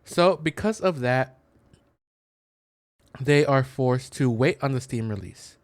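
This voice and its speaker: A man's voice: medium pitch, lively intonation, slow, dry recording. A man doing casual let's play commentary, explaining things in a hesitant, rambling way into a close mic. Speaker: gaming content creator.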